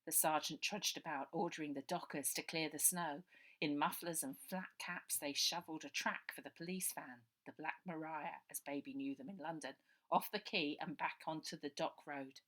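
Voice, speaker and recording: A woman's voice: low-pitched, steady tone, moderate pace, fairly bright tone, low-quality recording. A woman narrating a children's adventure story as its author, reading aloud.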